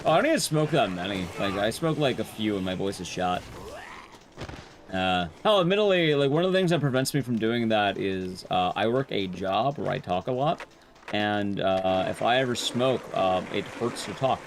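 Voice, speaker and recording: Young man, streamer, rambling casual chat, bouncy pitch, faint hiss behind. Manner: rambling, chatty, lively pitch jumps.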